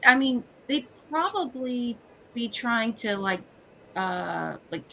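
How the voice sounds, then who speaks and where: Woman player in an online rpg, bright sharp voice, talking tactics casually, slight noise. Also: fairly high pitch, expressive, slow, gravelly, dull audio. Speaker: player in a tabletop roleplay game. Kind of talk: casual tactical game chat.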